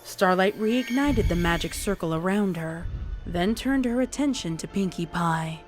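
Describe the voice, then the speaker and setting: A woman's voice: lowish voice, animated, a little slow. A woman reading scripted, dramatic story narration as the narrator of a fan-fiction tale. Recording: music behind the narration. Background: music.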